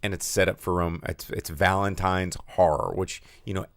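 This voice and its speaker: A hoarse man co-hosting a movie podcast, talking casually about new releases with lots of fillers and animated asides. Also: sits low, moderate pace, no room sound, clean studio sound. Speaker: podcast co-host. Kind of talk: casual movie news chat.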